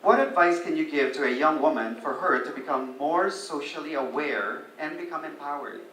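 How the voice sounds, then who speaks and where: A man's voice: on the high side, normal speed, sharp tone, reverberant, a little hiss or hum, rough recording. A man serving as interviewer, asking pageant contestants questions in a friendly way, with playful asides.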